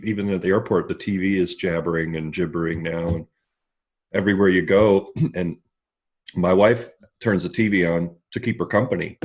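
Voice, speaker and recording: A man speaking candidly and self-deprecatingly in a group conversation, halting and restarting as he reflects. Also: low-pitched, slightly rough. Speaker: discussion participant.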